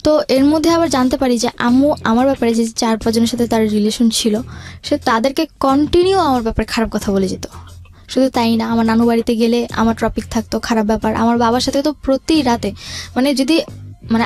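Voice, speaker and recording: A woman's voice: fairly high pitch, quick pace, a little mellow. A woman with a Bangladeshi accent tells her own life story in a radio interview, quoting conversations and mixing in English words, in a voice altered to hide her identity. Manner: quotes remembered conversations, mixes in English words.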